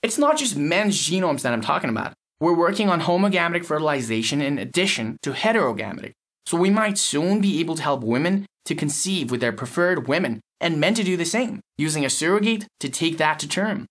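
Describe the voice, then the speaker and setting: Man playing a cynical, higher-pitched lab technician. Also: sing-song, fairly quick, fairly bright tone. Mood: blunt, dry. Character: cynical, blunt male lab technician, slick explainer. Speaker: audio story character, fertility lab technician. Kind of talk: acted dialogue in an audio short story.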